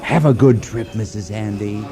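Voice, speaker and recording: An actor playing a hearty announcer in a comic radio parody of a how-to show. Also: male voice, on the low side, normal speed, close to an everyday voice. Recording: clear music behind the voice.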